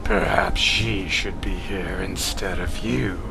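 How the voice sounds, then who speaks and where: A man's voice: low-pitched, slow pace, over music, full character voice. A man playing a proud, fierce alien cat warrior in a sci-fi audio drama, haughty and declamatory, full of contempt for humans. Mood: cold contempt. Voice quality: gravelly, growling.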